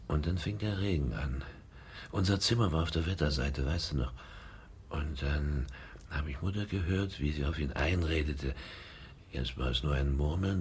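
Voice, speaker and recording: Low-voiced, haunted middle-aged man. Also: slightly rough, heavily acted. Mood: haunted, remembering. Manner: brooding storytelling, dropping to hushed tones. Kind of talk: radio drama acting.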